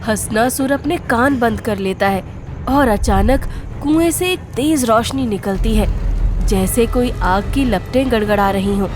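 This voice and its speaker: Female storyteller, high voice, scripted narration over a faint music bed. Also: measured pace.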